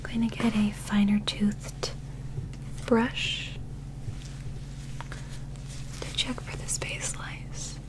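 Softly whispering young woman, slow and gentle. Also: mid-range voice, level tone, rough recording. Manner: gentle, slow, methodical, reassuring. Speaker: ASMR performer playing an alien medical examiner. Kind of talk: soft whispered ASMR roleplay.

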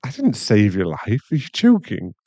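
A man's voice: on the low side, wildly swooping pitch, warm tone, dry recording, heavily acted. Casting: male actor as anxious, caring werewolf boyfriend for ASMR audio; close, dry, slightly dull recording, soothing and rambling. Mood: shaken, rambling. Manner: halting, rambling, soothing, breathy sighs.

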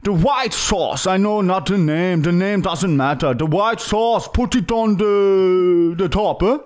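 A man voicing a shifty, suspicious foreign man who speaks halting, demanding broken English in a comedy prank call. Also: sits high, darkish tone. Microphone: close boom-arm podcast microphone.

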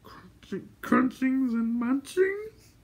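A man's voice: extremely high pitch, animated, slow, voice pushed up, heavily acted. A man voicing a cringing, hungry wild creature in a storybook, fawning and self-pitying, with whining, howling, childlike speech. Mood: hungry wheedling.

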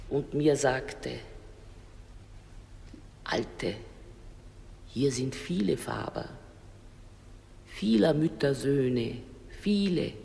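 A woman's voice: extremely low pitch, gravelly, dark tone, exaggerated voice. An older woman playing a grieving old fishwife, a dead mother, in a solemn, declamatory radio drama style. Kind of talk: German radio drama stage-style acting. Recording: long reverberant hall.

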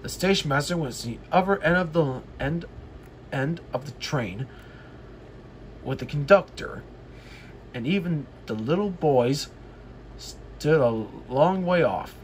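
A man reading a children's story aloud, often haltingly, repeating and correcting phrases. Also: on the high side, animated, slight background noise. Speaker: storybook reader.

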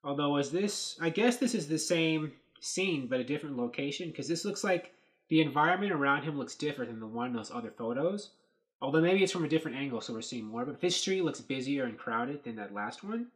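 A man in his thirties, hosting a casual commentary on movie set photos, thinking aloud with lots of fillers, recorded at a desk webcam in a small dark room. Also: a little high, lively intonation, fairly quick. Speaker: host.

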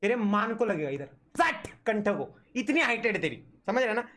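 A man's voice: high-pitched, big pitch swings, moderate pace, a bit bright. A young man giving casual live reaction commentary as a gaming streamer, with teasing banter. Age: young adult.

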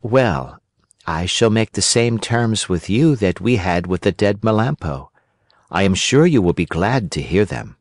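A man doing a sly smooth-talking weasel. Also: on the low side, animated, unhurried, no echo, studio quality. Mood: scheming. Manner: smooth, coaxing and scheming.

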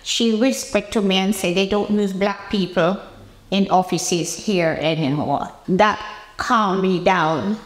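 A woman's voice: medium pitch, slow pace. A woman in her eighties from Jamaica, with a Jamaican accent, recalling personal memories in a seated interview recorded in a roomy space. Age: 80s. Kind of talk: personal reminiscence to camera. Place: indoor room with bare walls, seated interview. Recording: roomy, echoing indoor interview.

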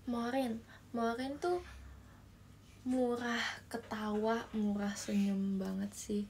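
A woman's voice: fairly high pitch, steady tone, takes it slowly, a little mellow, a little hiss or hum. A young woman hosting a casual phone livestream from her bedroom, chatting with viewers, reading their comments and giggling often. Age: young adult. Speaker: idol livestream host. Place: small bedroom indoors. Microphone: phone held close in selfie framing.

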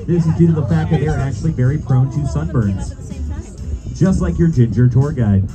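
A man's voice: a little high, normal speed. A man giving practised, folksy tour commentary to passengers on a boat outdoors. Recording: loud music over tour boat audio.

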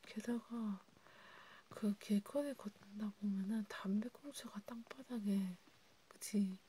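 A woman whispering in a breathy, hushed, intimate way, chatting casually as in an ASMR roleplay. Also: mid-range voice, slow. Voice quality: breathy, mostly unvoiced whisper. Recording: steady background noise.

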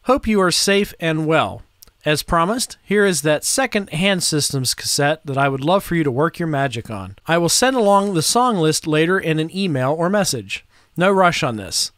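A man talks through a how-to. His voice is smooth and a little high, recorded close and dry. He explains each step casually and without hurry, hesitating as he goes. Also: animated, normal speed, a bit bright, no echo. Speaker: audio restorer presenting a how-to. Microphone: close microphone in front of him.

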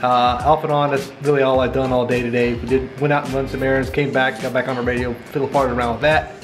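A man's voice: mid-range voice, level tone, quick pace, music in the background. A middle-aged man with an American accent talking casually and informally as an amateur radio hobbyist. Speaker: amateur radio operator and vlogger. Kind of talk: casual vlog talk with radio call-outs. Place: small home radio room, indoors. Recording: noisy room with radio static.

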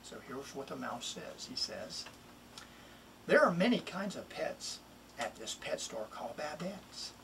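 A middle-aged man, a children's author, introducing and expressively reading a picture book in a deliberate, emphatic way. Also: on the high side, sing-song, vocal fry. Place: small wood-panelled home office, indoors. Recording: distant mic, room noise.

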